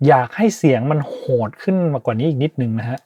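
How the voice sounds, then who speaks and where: Husky man hosting a tutorial. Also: mid-range voice, lively intonation, fast, mellow, dry recording. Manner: polite, hesitant, thinking aloud. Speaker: tutorial host, sound designer. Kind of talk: informal software tutorial narration.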